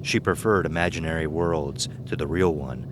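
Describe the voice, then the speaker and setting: A man's voice: very deep voice, moderate pace, noisy background. A man narrating and announcing from a script for an audio drama. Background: music.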